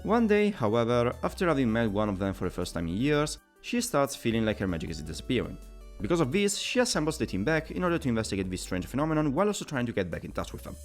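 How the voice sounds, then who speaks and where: Male video reviewer, scripted narration, brisk and animated, soft music underneath. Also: mid-range voice. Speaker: video game reviewer, channel host.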